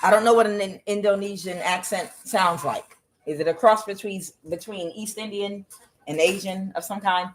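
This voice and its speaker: A woman doing a tarot reading on her own. She talks bluntly and swears often, questions the cards aloud, and fills her pauses with "uh". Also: medium pitch. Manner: blunt and profane, with frequent "uh" fillers. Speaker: tarot reader. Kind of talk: solo tarot reading to camera.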